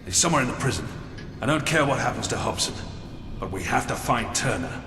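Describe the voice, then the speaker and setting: A man playing a grim military prison officer in a video game drama, speaking in a measured, serious way. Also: medium pitch, animated, close to an everyday voice. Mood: grave, urgent. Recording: echoey hall, distant, music underneath.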